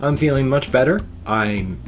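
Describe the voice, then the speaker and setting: A man's voice: medium pitch, animated, a little slow, faint music behind. Folksy, nasal older man, stuffy-sounding. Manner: folksy, chatty, meandering. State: congested, a little wheezy. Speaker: vlog host. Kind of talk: rambling casual morning vlog. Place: small home room at a desk.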